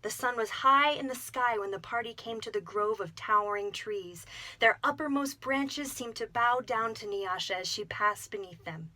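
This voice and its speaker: A young adult woman, a voice actor, reading a children's folktale aloud in a gentle, expressive storytelling manner. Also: fairly high pitch, normal speed, dry recording, low-quality recording.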